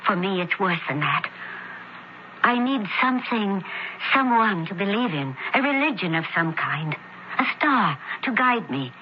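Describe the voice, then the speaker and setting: A woman's voice: medium pitch, lively intonation, measured pace, close to an everyday voice. An actress playing a troubled, searching young noblewoman of ancient times in a radio drama, speaking earnestly and formally. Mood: disillusioned. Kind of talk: old-time radio drama acting. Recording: dull old radio broadcast, faint noise.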